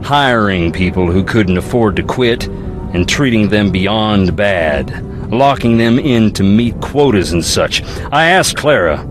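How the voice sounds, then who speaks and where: A rough-edged guy with a grievance in a video game. His low voice swings expressively as he tells things in plain, folksy words, with music clearly underneath. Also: expressive, slow. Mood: wry, resentful. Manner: folksy, plain-spoken storytelling. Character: aggrieved, rough-edged young Southern man, defiant ex-convict. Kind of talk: video game character dialogue.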